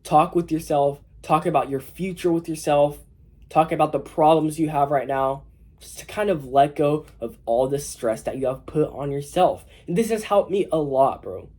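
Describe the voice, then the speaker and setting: Mellow-voiced teen guy with a cold. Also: on the high side, normal speed. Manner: informal, loose and rambling, full of "you know". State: slightly sick with a mild cold. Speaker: self-improvement vlogger. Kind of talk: casual advice vlog to camera.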